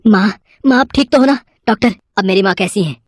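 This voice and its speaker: A devoted young man from a story cartoon, played by a woman, polite and grateful. The voice sits at a middle pitch for a woman and sounds earnest. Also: brisk, no room sound, studio quality, close to an everyday voice. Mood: anxious.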